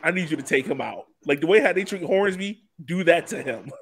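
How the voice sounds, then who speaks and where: A man's voice: a little high, expressive, brisk, a little hiss or hum. A man hosting a casual sports talk show, chatting in an informal, joking way. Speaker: podcast host.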